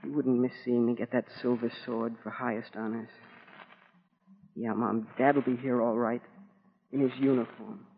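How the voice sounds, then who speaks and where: An actor playing a wistful, proud military school boy in an earnest, halting monologue to his mother, in 1940s radio drama style. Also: medium pitch, level tone, creaky, close to an everyday voice. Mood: brave, proud. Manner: plain boyish colloquial talk, earnest and halting. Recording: scratchy, narrow-band 1940s radio recording.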